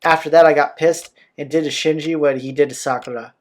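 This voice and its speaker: A man's voice: fairly high pitch, fairly even intonation, brisk. Man doing casual visual novel playthrough. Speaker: playthrough host. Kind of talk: casual game commentary and reading visual novel text aloud. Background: game soundtrack music.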